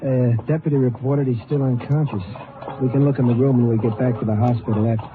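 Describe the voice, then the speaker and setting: A man's voice: medium pitch, steady tone, fast talker, natural voice. A man playing a plainspoken lawman in an old radio western, giving clipped, folksy reports to a colleague. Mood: reporting. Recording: tinny old radio recording, background commotion.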